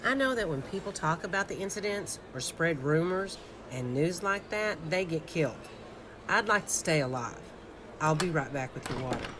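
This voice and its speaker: A woman's voice: on the low side, expressive, normal speed, background noise, natural voice. A woman playing a guarded, fearful small-town diner server in a radio play. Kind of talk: conversational radio drama.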